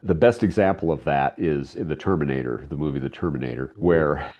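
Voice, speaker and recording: Male interview guest, low mellow voice, quick anecdotal delivery, slightly dull recording. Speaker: guest, audio drama creator and director. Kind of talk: casual anecdotal interview talk.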